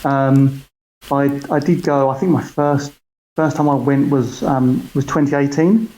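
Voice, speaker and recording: A man's voice: mid-range voice, measured pace, dark tone, rough recording. A man from the UK with a British accent, chatting as a podcast guest over a call, hesitant and full of fillers and restarts. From United Kingdom. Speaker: podcast guest, football fan. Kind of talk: casual chat over a call. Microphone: call audio.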